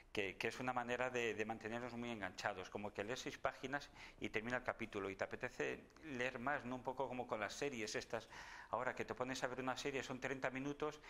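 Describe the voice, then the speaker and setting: A man's voice: medium pitch, fairly bright tone. A middle-aged man from Spain, a bookseller recommending novels in casual chat, often repeating words as he thinks. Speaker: bookseller guest.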